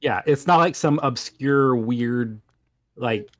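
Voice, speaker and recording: A man with a medium-pitched voice chats casually on a panel. His delivery is informal and conversational, and the recording is close and dry. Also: takes it slowly, dry recording. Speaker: podcast panelist, voice actor who does sound design. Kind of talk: casual chat.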